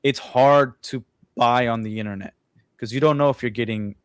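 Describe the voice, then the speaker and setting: A man's voice: mid-range voice, moderate pace, smooth, no room sound, studio quality. A man for whom English is not his first language, co-hosting a livestream over a video call and explaining things at length in a casual, talkative way. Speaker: co-host.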